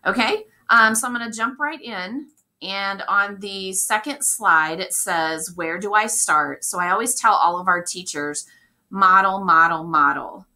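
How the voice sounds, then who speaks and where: A hoarse woman, a district technology specialist, giving a chatty recorded training talk to teachers and often checking in with "okay?". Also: medium pitch, measured pace, sharp tone. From Indiana, US. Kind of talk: recorded professional development talk.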